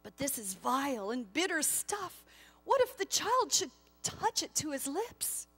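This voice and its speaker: Lady doing a sorry old wise man for a storybook, halting, yearning, high voice. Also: sing-song, a bit bright, long reverb tail, soft background music, heavily acted. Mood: anguished, hesitant. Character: bitter, burdened old male wise man, remorseful.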